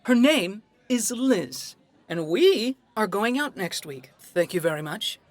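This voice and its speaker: An actor playing a cocky, excitable best friend in an audio drama, playfully boastful. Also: male voice, sits high, takes it slowly, dry recording, exaggerated voice. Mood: excited, smug.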